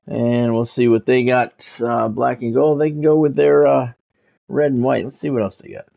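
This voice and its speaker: A man's voice: mid-range voice, level tone, moderate pace, gravelly. A man giving casual, rambling, conversational commentary as he hosts a football video game stream. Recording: dull lo-fi audio with music behind.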